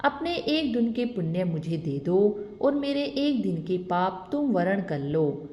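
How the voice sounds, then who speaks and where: A woman's voice: mid-range voice, big pitch swings, slow pace, dark tone. Woman doing a reproachful woman from a vision. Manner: reproachful, insistent. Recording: echoey reverberant room.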